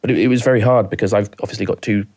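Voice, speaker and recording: A Welsh man in his thirties with a very deep voice that barely moves in pitch. He talks softly and haltingly, often repeating a word before going on. He is a guest on a talk podcast telling a hard story from his own life. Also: steady tone. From rural mid Wales. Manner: halting, repeats words, soft-spoken. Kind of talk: emotional personal storytelling.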